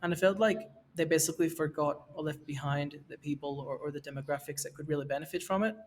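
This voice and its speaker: A man in his thirties from Venezuela, a podcast guest speaking in a relaxed way, with fillers and restarts. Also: on the high side, quick pace. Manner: relaxed, with fillers and self-corrections. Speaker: guest, NFT project co-creator. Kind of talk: casual podcast interview. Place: Mexico, where he is now.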